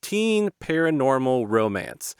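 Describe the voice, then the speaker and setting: A man's voice: medium pitch, big pitch swings, takes it slowly, clean tone, dry recording, clean studio sound. A man hosting a conversational interview, speaking emphatically and repeating phrases to drive points home. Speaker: interview host.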